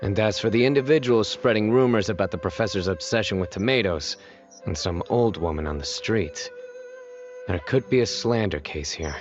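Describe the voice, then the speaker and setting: A man playing a stern, composed law officer in a video game, speaking formally and in a measured, deadpan way. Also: fairly low pitch, highs cut off, soft background music, lightly acted.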